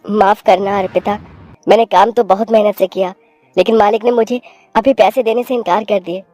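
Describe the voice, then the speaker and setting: A man's voice: sits high, fairly even intonation, moderate pace, warm tone, dry recording, soft background music, lightly acted. A man voicing a weary, earnest poor young husband and father in a children's cartoon, humble and apologetic. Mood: ashamed, apologetic. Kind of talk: Hindi children's cartoon story voice acting.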